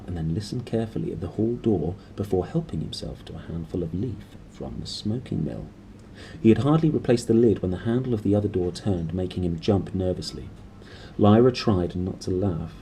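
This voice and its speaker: A man's voice: sits low, mellow, a little hiss or hum, low-quality recording. A man reading a story aloud expressively. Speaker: reader and host. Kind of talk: expressive audiobook narration with casual asides.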